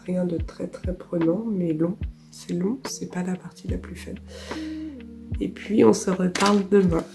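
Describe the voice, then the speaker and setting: A woman in her thirties from France, hoarse with a cold, talking softly and casually in her art studio vlog, with many fillers. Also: lowish voice, steady tone, unhurried. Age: 30s. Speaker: illustrator. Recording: music playing behind.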